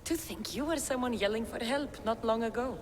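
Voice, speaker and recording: A woman's voice: on the high side, a little fast, in a large hall, a little hiss or hum, lightly acted. An actress playing a bold, determined female adventurer who asks direct questions. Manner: direct and inquisitive. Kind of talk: game cutscene acting.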